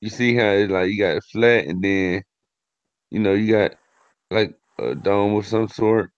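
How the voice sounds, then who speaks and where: A low-voiced man hosts a casual stream, thinking aloud in a loose, conversational way. The audio is dull and lo-fi, and dry with no echo. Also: unhurried, clear, resonant tone. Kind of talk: casual live-stream discussion.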